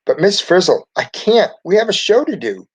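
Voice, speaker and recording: A man voicing an excitable, stubborn schoolboy in a storybook, protesting and exclaiming. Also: sits high, normal speed, breathy edge, pitched above the performer's own voice, heavily acted. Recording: dull lo-fi recording.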